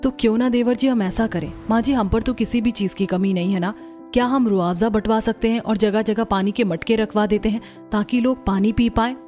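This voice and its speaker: A woman's voice: on the high side, fairly even intonation, normal speed, muffled recording, soft background music, lightly acted. A woman playing an earnest, principled young daughter-in-law in a cartoon family drama. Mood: concerned. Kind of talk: cartoon story character acting.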